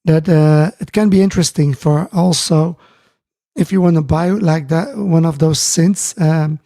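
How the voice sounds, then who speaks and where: Gravelly, mellow man. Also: a little high, dry recording. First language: Dutch. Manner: halting, many fillers and restarts, greets chat viewers. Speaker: live-stream host. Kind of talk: casual live-stream chat. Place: home music studio.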